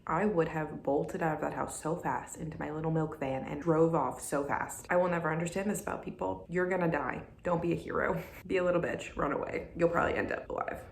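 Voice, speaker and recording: A woman's voice: low voice, a little fast, gravelly, hardly any pauses, reverberant, a little hiss or hum. A woman hosting a reaction video, talking casually with candid, blunt asides.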